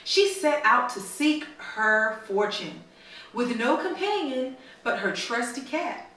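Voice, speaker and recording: Bright-voiced woman reading aloud in echoey room. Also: a little high, very animated, takes it slowly. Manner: teacherly, prompting children with questions. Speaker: mother reading a storybook to her daughters. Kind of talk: read-aloud storytime with questions to children. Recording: very echoey room, slightly distant mic.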